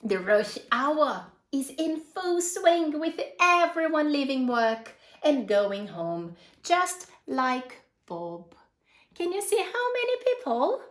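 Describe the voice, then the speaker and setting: A woman's voice: high-pitched, sing-song, slow pace, roomy sound. A woman reading a picture book aloud to young children, expressively, with asides and questions addressed to her listeners.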